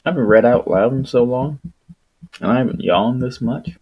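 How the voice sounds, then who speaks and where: A man streaming solo, reading aloud and chatting casually in an unhurried, rambling, wry manner. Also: medium pitch, slightly rough, muffled recording. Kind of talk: audiobook-style reading and casual livestream chat.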